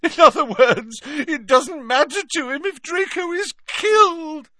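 A frantic aristocratic mother pleads and sobs in a high, strained voice, as a male audiobook reader puts her on. She speaks slowly and brightly, recorded dry and close. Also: no echo, heavily acted. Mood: desperate. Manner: sobbing, desperate pleading. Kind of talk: audiobook character voice.

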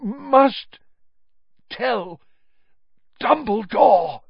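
A man's voice: fairly high pitch, lively intonation, normal speed, no room sound, voice pushed up. A man voicing a confused, desperate older official who rambles and gasps out broken phrases. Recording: dull, slightly lo-fi recording.

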